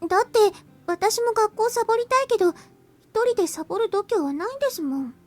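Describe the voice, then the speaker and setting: A woman's voice: very high-pitched, lively intonation, rapid-fire. A young woman playing a cute, devoted schoolgirl junior in a visual novel game. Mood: cute, slightly pouty. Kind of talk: Japanese visual novel voice acting.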